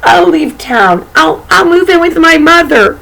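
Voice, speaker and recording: Woman as a pleading, higher-pitched storybook wolf. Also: expressive, a little slow, creaky, a bit bright, full character voice, low-quality recording. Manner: pleading, defensive. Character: male storybook wolf, misunderstood, pleading and protesting.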